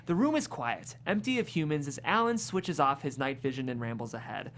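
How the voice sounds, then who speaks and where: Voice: medium pitch, expressive, a little fast, a bit bright, a little hiss or hum. A narrator vividly reading scripted stage directions at a comedic table read.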